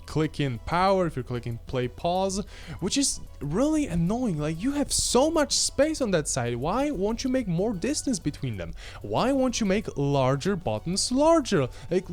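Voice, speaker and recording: A man's voice: a little high, a little mellow. A man, giving a casual, blunt and slangy product review into a handheld microphone. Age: 20s. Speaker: gadget reviewer. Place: small home room studio, indoors. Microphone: handheld microphone held close.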